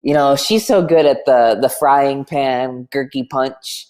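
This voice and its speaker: A man from Wisconsin, a pro player, guesting on a podcast, relaxed and self-deprecating with frequent laughs. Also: medium pitch, takes it slowly. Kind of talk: casual podcast interview.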